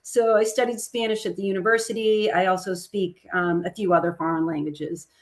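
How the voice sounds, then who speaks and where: A woman whose first language is Italian presents a webinar over a video call. She sounds upbeat and chatty, with a mid-range voice that sits neither high nor low for a woman. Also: fairly even intonation, brisk. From United States. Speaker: webinar presenter, trade expert. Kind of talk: casual presentation.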